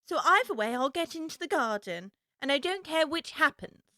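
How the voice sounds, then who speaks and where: A woman's voice: high voice, lively intonation, normal speed, sharp tone, no room sound, studio quality. A woman playing a curious, prim young girl in an audiobook, talking earnestly and expressively to herself. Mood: determined. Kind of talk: full-cast audiobook acting.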